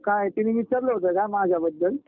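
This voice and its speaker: A man's voice: high-pitched, fairly quick, no echo. A young man chatting casually with a friend in an insistent, blunt, repetitive way on a phone call. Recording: narrow, tinny phone call recording.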